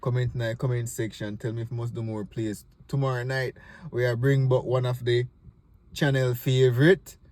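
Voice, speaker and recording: A man's voice: mid-range voice, level tone, moderate pace, darkish tone. A man with a Jamaican accent, casually hosting and giving comic commentary in Patois. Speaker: host introducing and commenting on stage play clips.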